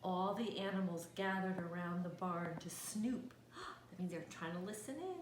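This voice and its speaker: A woman's voice: fairly low pitch, moderate pace. A woman reading a picture book aloud to young children, with gasps, exclamations and little asides explaining words. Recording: roomy echo, faint background noise.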